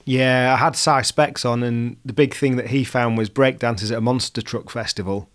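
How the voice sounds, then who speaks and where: A man's voice: mid-range voice, fairly even intonation, normal speed. A man with a Yorkshire accent, hosting a casual interview in a conversational way. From West Yorkshire, England. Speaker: podcast host.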